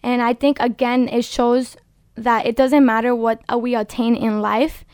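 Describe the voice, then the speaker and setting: High, smooth-voiced young woman. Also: little pitch movement, moderate pace, darkish tone, no echo, studio quality. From Dominican Republic. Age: 20s. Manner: earnest and passionate, exhorting listeners. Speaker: guest. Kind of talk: casual faith-based podcast talk. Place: studio.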